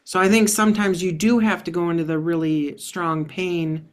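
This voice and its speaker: A woman's voice: on the low side, fairly even intonation, moderate pace. A woman casually sharing her own experience in an online group discussion. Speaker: webinar participant. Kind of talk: casual personal account.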